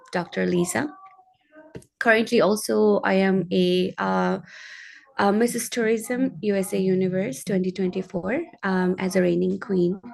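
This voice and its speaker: A woman from Nepal with a Nepali accent, giving a personal advocacy speech in an earnest, halting way as a guest speaker. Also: medium pitch, vocal fry.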